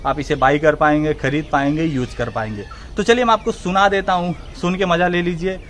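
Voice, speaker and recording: Young man, slightly high voice. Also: brisk, a bit bright. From India. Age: 20s. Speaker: gaming channel host. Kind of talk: casual vlog talk to camera. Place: outdoor open yard with trees. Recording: faint outdoor background noise.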